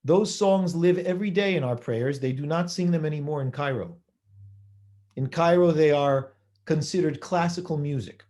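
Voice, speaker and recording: A man speaking in a mellow, warm tone as he delivers a holiday sermon. He moves at a measured pace, repeating phrases and asking rhetorical questions as he builds his point. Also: on the high side. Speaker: rabbi giving a holiday talk.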